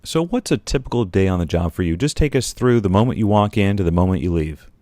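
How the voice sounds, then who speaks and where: A male podcast host with a fairly low voice sets up interview questions conversationally. His phrasing is articulate and measured. The sound is close and dry, with very little room in it. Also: lively intonation, a little mellow, dry recording.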